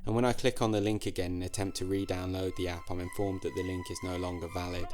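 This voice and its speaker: A man narrates a first-person story in a low-pitched voice. His delivery is measured and dry, with deliberate pauses and a literary turn. Music plays clearly behind him. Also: flat delivery.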